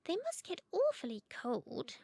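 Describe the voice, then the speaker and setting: Woman as a high-voiced caring little girl. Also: huge pitch swings, a little slow, creaky, mellow, full character voice. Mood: pleading. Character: five-year-old girl, curious and caring granddaughter.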